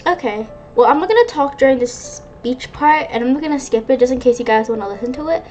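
A woman's voice: high voice, expressive, brisk, a little mellow. A woman giving casual gameplay commentary as a gaming YouTuber. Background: game music.

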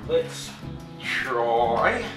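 A middle-aged man giving a casual hands-on demonstration, thinking aloud in a laid-back, halting mutter. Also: mid-range voice, wildly swooping pitch, over music. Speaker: host of a workshop tinkering channel. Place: cluttered shed workshop, indoors. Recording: roomy, distant camera sound.

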